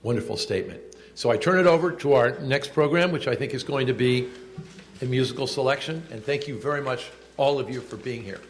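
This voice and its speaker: Measured older man giving a speech. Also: mid-range voice, background noise. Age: 60s. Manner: measured, reads quotes aloud with emphasis; loud projecting voice. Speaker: school official giving a speech. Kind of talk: formal commemorative speech. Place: indoor event hall.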